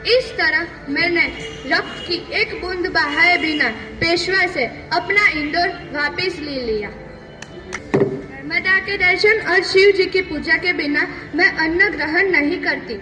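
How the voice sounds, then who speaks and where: A woman's voice: very high voice, sharp tone, far from the mic, full character voice, low-quality recording. A woman playing a proud, resolute widowed Maratha warrior queen in a theatrical, declamatory, earnest monologue. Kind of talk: theatrical one-person stage monologue.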